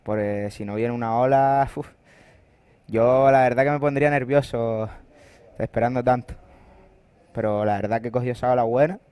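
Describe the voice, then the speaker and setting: A man's voice: mid-range voice, normal speed, pauses often, clean tone, dry recording. Easygoing male surf co-commentator. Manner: relaxed, agreeing, short reactions. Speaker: bodyboarding co-commentator. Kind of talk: live sports commentary in Spanish.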